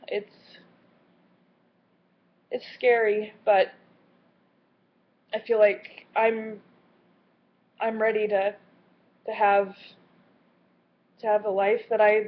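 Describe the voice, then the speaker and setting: A woman in her late twenties talking in a personal video diary, earnest and candid, with a halting way of speaking. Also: medium pitch. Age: late 20s. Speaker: vlogger. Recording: slightly muffled lo-fi audio.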